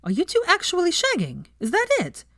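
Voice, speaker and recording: A woman reader plays a blunt young woman teacher in a storybook. She is brash and teasing, quick to needle people, with a lively, raised pitch and a dry, close sound. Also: dry recording, heavily acted.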